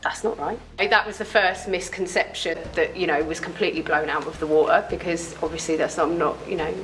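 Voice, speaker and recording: A woman giving an animated, self-deprecating personal baptism testimony, often repeating words. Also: deep voice, a little fast, poor audio.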